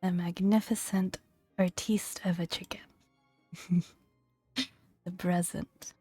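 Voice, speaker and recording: A woman speaking softly and breathily in a gentle, playful ASMR style, often dropping into a whisper as she reads and comments. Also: on the low side, flat delivery, takes it slowly, husky, no echo, faint music behind. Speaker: gaming video host. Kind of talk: soft-spoken ASMR, reading creature entries with casual commentary.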